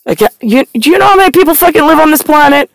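A woman's voice: sits high, racing, gravelly, dry recording. A woman in her forties rambling to camera in a blunt, sardonic, stream-of-consciousness monologue recorded up close inside a car. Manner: blunt, sardonic, profane, animated hand gestures. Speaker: vlogger. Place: inside a parked car at night.

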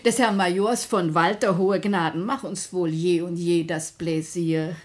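A woman playing a foolish, stubborn, socially ambitious middle-aged mother, simpering and obstinate, with a husky voice in a 1950s German radio drama. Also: fairly low pitch, fairly even intonation, unhurried. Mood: coyly polite.

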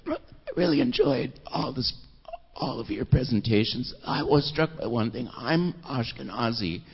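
Brief: gravelly-voiced male audience member, hesitant, echoey hall. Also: mid-range voice, sing-song, moderate pace. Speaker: audience member asking a question. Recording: dull echoey hall recording.

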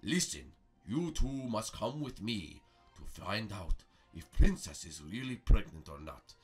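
A man's voice: lowish voice, very animated, faint music behind. A man voicing a solemn, self-important mystical guide in an improvised toy story. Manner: pompous, slow proclaiming.

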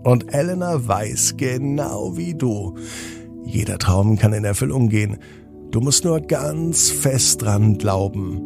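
A man narrating a children's bedtime story as podcast host, in an expressive, measured storytelling manner. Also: mid-range voice, gravelly, over music.

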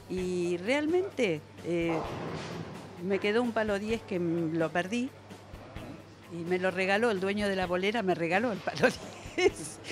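An older Argentine woman in her seventies gives conversational, explanatory interview answers. She has a mid-pitched voice and speaks into a handheld mic, with music clearly audible behind her. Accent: Argentine Spanish. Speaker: veteran bowler being interviewed. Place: indoor bowling alley. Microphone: handheld interviewer's microphone.

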